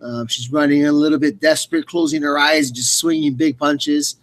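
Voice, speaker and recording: Male color commentator, somewhat high pitch, breaking down the action analytically for a sports broadcast. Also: fairly even intonation, moderate pace.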